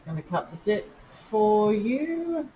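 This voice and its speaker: Woman, tarot reader, slow and chatty, middle pitch, faint rain noise in background. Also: big pitch swings, warm tone, low-quality recording. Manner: chatty, many fillers, talks to spirits while drawing cards. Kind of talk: casual tarot reading. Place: indoors with rain outside.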